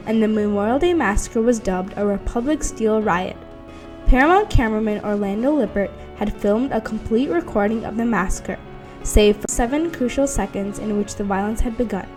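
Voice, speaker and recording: Woman doing scripted documentary voiceover, medium pitch, faint music underneath. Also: a little mellow. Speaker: documentary narrator. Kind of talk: scripted narration. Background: background music.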